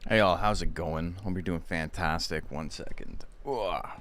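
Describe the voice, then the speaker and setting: A hoarse man hosting a livestream, chatting casually and rambling with lots of fillers. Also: sits low, unhurried. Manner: rambling, relaxed, full of fillers. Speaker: streamer and tournament host.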